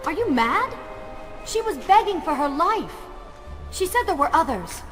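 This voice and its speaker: A woman's voice: sits high, expressive, a little slow, a bit bright, soft background music. A woman playing a proud, compassionate young heroine in a video game, speaking earnestly and reproachfully in formal phrases. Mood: indignant. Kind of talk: dramatic video game voice acting.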